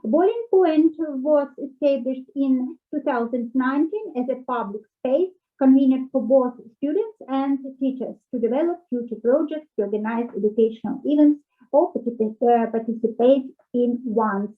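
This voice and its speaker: A woman's voice: sits high, moderate pace. A woman from Russia, whose first language is Russian, presents her university work carefully, hesitating with fillers. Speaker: conference presenter. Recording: tinny phone-like audio.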